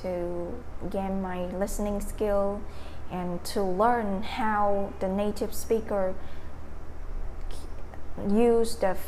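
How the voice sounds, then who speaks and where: A young woman from Vietnam speaking English with a Vietnamese accent, answering interview questions hesitantly, often pausing and restarting as she searches for words. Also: medium pitch, level tone, a little mellow, a little hiss or hum, low-quality recording.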